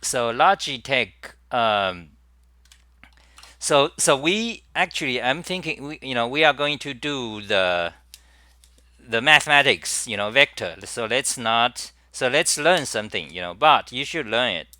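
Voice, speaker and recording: A man's voice: medium pitch, lively intonation, unhurried, sharp tone, no echo. A middle-aged man, hosting a casual live stream and rambling through his topics with many restarts and fillers. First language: Chinese. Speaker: livestream host.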